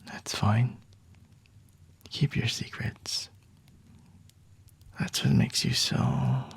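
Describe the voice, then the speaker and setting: A man playing a flirty, teasing, predatory vampire in an ASMR roleplay, with a breathy, husky whisper and intimate delivery. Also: mid-range voice, heavily acted. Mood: taunting, curious.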